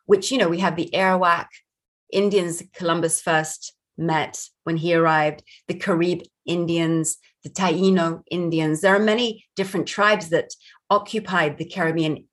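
A woman from Barbados talks earnestly as a guest in a video-call interview. Her voice sits on the low side with a husky, breathy edge, and she speaks in a reflective, spiritual way. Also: steady tone, moderate pace. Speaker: film director, guest. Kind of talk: interview conversation.

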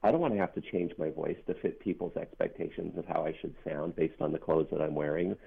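A middle-aged non-binary author speaks with a very low, gravelly bass voice that has a husky edge. The talk is thoughtful and earnest, with pauses and restarts along the way. It comes through a narrow, phone-like line. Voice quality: deep bass voice. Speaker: author guest. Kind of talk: reflective interview talk.

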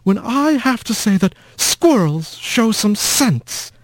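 Brief: man voicing a frosty old lady mouse, high and pinched, cutting and scornful, storybook villain. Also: sing-song, takes it slowly, dry recording, heavily acted, rough recording. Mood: sour. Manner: cold, cutting and contemptuous. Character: sour, bitter old female mouse clan head.

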